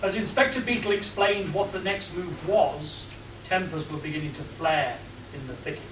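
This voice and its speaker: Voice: mid-range voice, moderate pace, close to an everyday voice. A performer playing the melodramatic narrator of a comic radio serial. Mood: dramatic. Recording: echoey room, distant mic, noisy.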